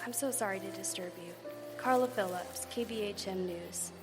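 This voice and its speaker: An actress playing an eager radio news reporter in a live radio drama, reporting breathlessly from the scene. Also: female voice, mid-range voice, normal speed, lightly acted. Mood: earnest. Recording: live stage with piano music.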